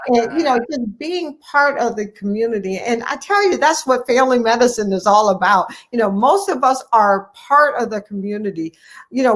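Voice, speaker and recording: Earnest woman physician in interview. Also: fairly high pitch. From United States, Ohio. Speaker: family physician, guest speaker. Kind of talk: conversational interview answers.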